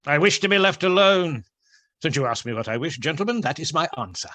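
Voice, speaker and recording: Crabby old miser, radio drama, highish bright voice, swoops around, curt and cold. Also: male voice, lively intonation, fairly quick, no room sound. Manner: curt, scornful, grumbling. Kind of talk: radio play acting.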